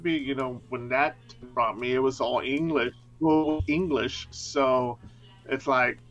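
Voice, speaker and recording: A man's voice: medium pitch, steady tone, slow, fairly bright tone, muffled recording, faint music behind. Laughing man chatting on a livestream. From Mexico. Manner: laughs easily, rambling. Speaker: guest tarot reader. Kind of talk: casual livestream chat.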